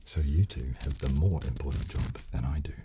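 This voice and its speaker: A man in his thirties hosting ASMR, explaining gently and hesitantly in a soft, hushed voice close to the microphone. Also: extremely low pitch, a little fast, very noisy. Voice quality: soft, hushed, breathy whisper at times. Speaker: ASMR video host. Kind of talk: soft-spoken and whispered ASMR, casual instruction. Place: small indoor room, seated at table. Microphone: close studio microphone.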